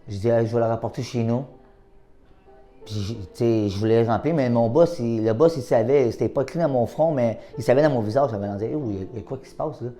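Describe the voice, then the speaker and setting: A man with a Québécois accent, an adult literacy learner, telling his story in a lively way and acting out his own past thoughts. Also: mid-range voice, fast, soft background music. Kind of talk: personal testimony.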